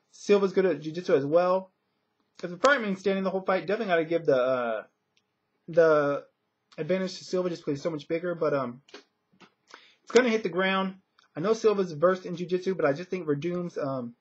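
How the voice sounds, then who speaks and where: A man giving casual sports predictions in a hesitant, conversational way, as a commentator would. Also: a little high. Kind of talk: casual fight prediction talk. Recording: dull lo-fi audio.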